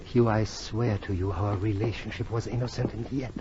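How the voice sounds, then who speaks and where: A man's voice: fairly low pitch, steady tone, moderate pace, close to an everyday voice. A man acting a tormented, morbidly sensitive aristocrat in 1940s radio drama, nervous and melodramatic, repeating his words. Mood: brooding, confessional. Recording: dull, noisy vintage radio recording.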